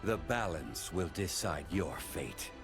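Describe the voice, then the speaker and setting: An actor playing a calm, honorable ninja guardian in a game, solemn and formal. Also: male voice, low-pitched, takes it slowly, over music, heavily acted. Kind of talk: scripted game character voice lines.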